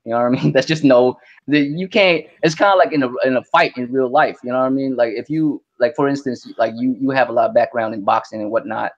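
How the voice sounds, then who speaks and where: Gravelly, animated man chatting casually. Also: mid-range voice, fast, dull audio. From Vietnam. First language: Vietnamese. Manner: animated, slangy, lots of "you know what I mean". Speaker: guest. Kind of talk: casual interview chat.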